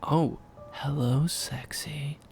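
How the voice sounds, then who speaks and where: A man's voice: on the high side, steady tone, extremely slow, creaky, a little mellow, soft background music, voice pushed up, heavily acted. A man voicing a pompous, theatrical potato politician with a French accent in a parody cartoon story. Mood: flirtatious.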